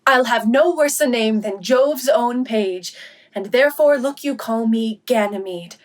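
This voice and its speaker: A woman playing a young noblewoman at court in a Shakespearean radio play, with formal, measured delivery. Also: fairly high pitch, lightly acted. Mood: anxious, plucky.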